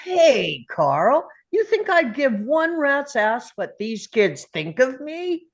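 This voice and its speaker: A woman's voice: high-pitched, big pitch swings, slow, full character voice. A woman reading an indignant, weary older male school teacher in a table read of a film script.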